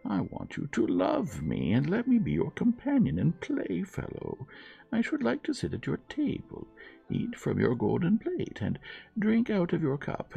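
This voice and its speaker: A man voicing a persistent, pleading male frog in a fairy tale, speaking in a slow, imploring way. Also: on the high side, vocal fry, dark tone, breathy edge, dull audio, pitched above the performer's own voice, full character voice.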